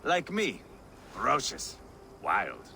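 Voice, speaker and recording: An actor playing a boastful, wild, battle-hungry Viking warrior in a video game, with a brash, swaggering manner. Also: male voice, on the low side, huge pitch swings, slow, vocal fry, pushed and bright, slight background noise, exaggerated voice. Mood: scornful, brooding. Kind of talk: dramatic video game dialogue.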